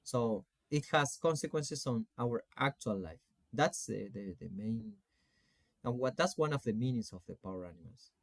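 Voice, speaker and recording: A man in his forties from Argentina with an Argentine Spanish accent gives an informal online lecture as a coach, phrasing things in a halting, deliberate way. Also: medium pitch, expressive, vocal fry, no room sound. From Salta, Argentina. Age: 40s. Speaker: spiritual coach teaching an online course.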